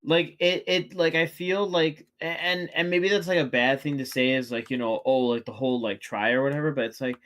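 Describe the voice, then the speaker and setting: A man's voice: medium pitch, fairly even intonation, a little fast, hardly any pauses, fairly bright tone. A man chatting casually during a watchalong stream, rambling with many restarts and fillers. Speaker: watchalong co-host. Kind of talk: casual reaction chat.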